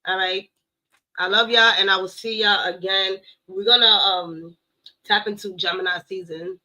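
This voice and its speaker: A woman giving a casual tarot reading to her viewers, playful and blunt, cursing now and then and slowing down to recite affirmations. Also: medium pitch, pushed and bright, rough recording. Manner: playful, blunt, swears, addresses viewers as y'all. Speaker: tarot reader.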